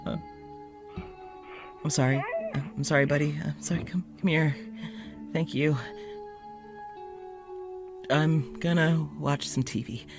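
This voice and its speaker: A worn-out, sleepless doctor. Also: male voice, a little high, steady tone, over music. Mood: weary. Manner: halting, weary, pleading, breaks into shouting. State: exhausted. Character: exhausted, anxious male doctor keeping an audio journal. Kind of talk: audio drama monologue.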